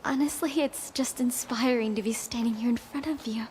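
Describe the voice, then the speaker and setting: An actress playing an earnest, adoring young woman with soft, breathy delivery in a video game scene. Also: fairly high pitch, fairly even intonation, normal speed, a little hiss or hum, close to an everyday voice. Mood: awed. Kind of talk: dramatic video game cutscene acting.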